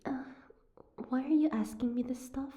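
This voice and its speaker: A woman's voice: high voice, fairly even intonation, moderate pace, warm tone, lightly acted. A woman playing a sardonic, guarded captive mermaid in an audio roleplay, with a wry, teasing, prickly manner. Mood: prickly, suspicious.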